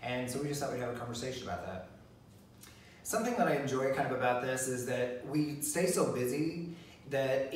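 A man's voice: mid-range voice, recorded from a distance, slight background noise. A middle-aged man co-hosting a casual chat, rambling with lots of fillers and restarts. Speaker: co-host. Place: living room, seated on a leather sofa. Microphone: phone camera from across the room. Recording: very echoey room, voice off-mic.

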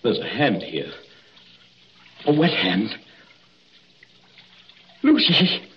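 An actor playing a frantic, determined young husband in a 1940s radio drama, urgent and commanding. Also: male voice, medium pitch, huge pitch swings, extremely slow, full character voice. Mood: anxious relieved. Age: young adult. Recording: dull, noisy old radio broadcast.

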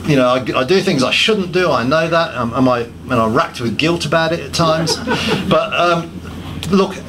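A man's voice: on the high side, moderate pace, fairly bright tone, slight background noise, poor audio. A man giving a public talk, listing points emphatically and often restarting his sentences with self-deprecating asides. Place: pub function room.

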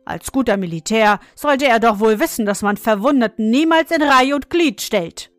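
Storybook role: woman giving voice to a firm, even father, admonishing and dry. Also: fairly high pitch, no echo, soft background music, natural voice. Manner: measured, admonishing. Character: stern, fair-minded father, calm and lecturing.

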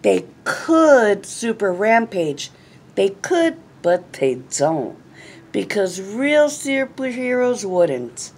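A middle-aged woman reading a children's picture book aloud in an expressive, deliberate, phrase-by-phrase way. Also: mid-range voice. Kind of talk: read-aloud storytelling. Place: small classroom, indoors. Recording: clearly noisy room recording.

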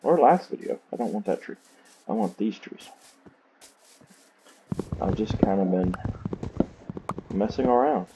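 Slow-talking Southern US man, noisy recording. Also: fairly low pitch, lively intonation. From Southern United States. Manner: self-talking, rambling. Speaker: gaming series host. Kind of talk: casual rambling gameplay commentary. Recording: clearly noisy home recording with clicks.

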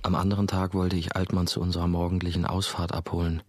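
A man's voice: low-pitched, little pitch movement, quick pace, a little mellow, no echo. An actor playing a subdued, brooding young man who narrates a radio play introspectively.

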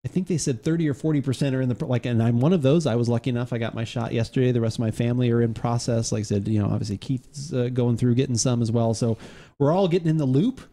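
A man's voice: mid-range voice, fast talker, warm tone. A man in his forties hosting a live-stream podcast from a home studio, rambling and joking with lots of fillers into a studio mic. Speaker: podcast host. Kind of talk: casual live-stream chat. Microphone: studio mic on boom arm.

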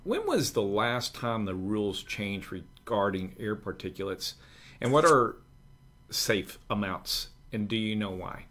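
A man's voice: lowish voice, big pitch swings, unhurried, a bit bright. A middle-aged man, a state representative, courteously and formally moderating an online town hall from an indoor room. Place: indoor room, on a video call.

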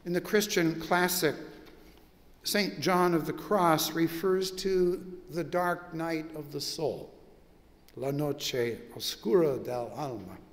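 An older man, a pastor, preaching a measured, reflective sermon with long pauses in a large church sanctuary. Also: on the high side, long reverb tail.

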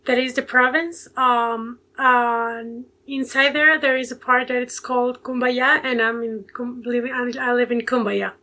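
A young woman from Ecuador in her late teens talks English with a Spanish accent, pausing and reaching for words. Her voice is bright and a little high, held in a steady, even tone. She is heard through a simple earphone mic on a video call from home. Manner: hesitant, many fillers, searching for words. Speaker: guest. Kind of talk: casual video call interview. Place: home bedroom with bookshelves.

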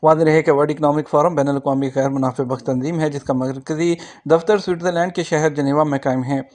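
Male news reader, slightly high voice, formal scripted delivery, online news narration. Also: fairly even intonation, fast, darkish tone.

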